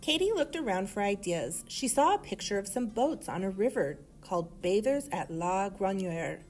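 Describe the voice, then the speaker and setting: A woman reading a children's story aloud in an expressive manner. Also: medium pitch, moderate pace, soft background music. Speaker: storybook reader.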